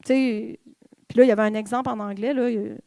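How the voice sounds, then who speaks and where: A woman's voice: on the high side, fairly even intonation, fast, creaky, dark tone, no echo, clean studio sound. A woman with a Québécois French accent giving an informal, conversational sermon in church, with fillers and the odd whispered aside. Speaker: church speaker.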